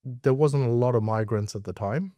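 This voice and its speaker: A man's voice: mid-range voice, normal speed, warm tone, no room sound. A middle-aged man with an Australian accent, telling his story casually as an interview guest. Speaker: interview guest, investment professional.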